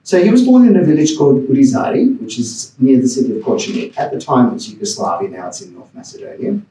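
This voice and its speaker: A man's voice: mid-range voice, big pitch swings, fast talker, mellow, roomy sound, far from the mic, rough recording. A man from Australia giving a personal, rambling talk on a panel, with restarts and chuckles. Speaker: panel speaker. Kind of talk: academic talk. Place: lecture room.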